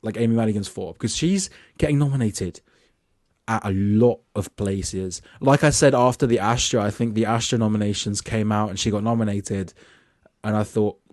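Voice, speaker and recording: A chatty young man with a lowish voice, walking through a list of award picks in a loose, casual way, often restarting his sentences. His voice sounds dry and close, with no room around it. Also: normal speed, a little mellow, no echo. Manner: reads his list off notes. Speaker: film awards vlogger. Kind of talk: casual spoken predictions.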